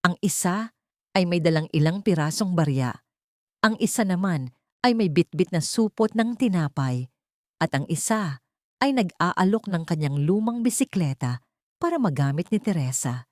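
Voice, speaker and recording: A woman's voice: lowish voice, darkish tone, dry recording, clean studio sound. A woman with a Filipino accent narrating a dramatic audiobook story from a script.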